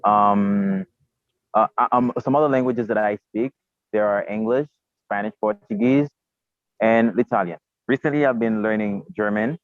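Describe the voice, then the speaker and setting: A man from Haiti whose first language is Haitian Creole, speaking English with a Haitian accent as a podcast guest, halting and repeating words as he searches for them. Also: on the low side, no echo. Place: outdoors, remote. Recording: tinny phone-like line.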